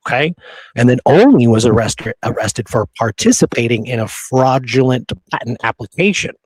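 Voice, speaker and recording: A man's voice: medium pitch, lively intonation, moderate pace, slightly rough, no echo, poor audio. A man hosting a history podcast, telling the story in an informal, conversational way and often checking in with the listener. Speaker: podcast host.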